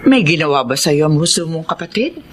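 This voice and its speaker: Concerned Filipino mother, middle-aged, swooping lively intonation, radio drama, faint background noise. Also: deep voice, brisk. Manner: concerned, expressive. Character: caring, motherly Filipino mother of grown sons.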